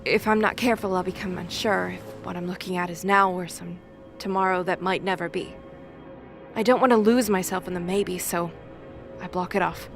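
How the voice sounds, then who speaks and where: Woman playing a low-voiced solemn seer girl. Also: fairly even intonation, moderate pace, gravelly, soft background music. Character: solemn, wary young seer girl with eye patch. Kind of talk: audio mythology drama acting.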